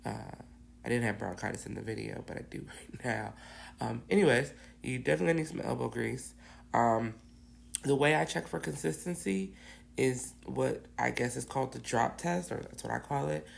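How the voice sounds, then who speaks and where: Raspy, gravelly woman with a hoarse voice. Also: rumbling low, moderate pace, slight background noise. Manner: rambling, lots of ums and restarts. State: hoarse, getting over bronchitis. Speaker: cookie decorator. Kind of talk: casual how-to tutorial.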